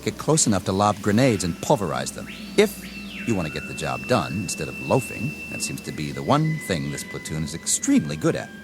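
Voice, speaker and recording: An actor playing an arrogant, inexperienced army lieutenant in a radio drama, with a clipped, formal, commanding manner. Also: male voice, sits low, big pitch swings, fairly quick. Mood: scornful. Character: arrogant, rigid, inexperienced young army lieutenant, eager for combat. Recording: dramatic music under the dialogue.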